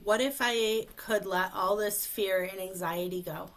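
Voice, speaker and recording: A woman speech coach leading a guided tapping session, speaking slowly and calmly in short phrases for a client to repeat, over a video call. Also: medium pitch. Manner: slow, calm, leads phrases for repetition.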